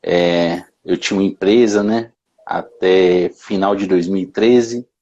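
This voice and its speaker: A man, answering interview questions in a relaxed way, often adding tag questions. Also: low-pitched, unhurried, muffled recording. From Bahia, Brazil. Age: 30s. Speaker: interview guest.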